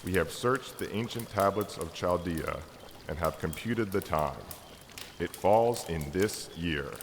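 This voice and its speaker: A man's voice: low voice, measured pace. A man in a dramatic church reading, playing a devout, hopeful Magus who declaims solemnly and earnestly. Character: Artaban, devout, hopeful Persian Magus and stargazer. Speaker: amateur church reader. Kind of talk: dramatic reading of a Christmas story. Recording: echoey hall reverb with background noise.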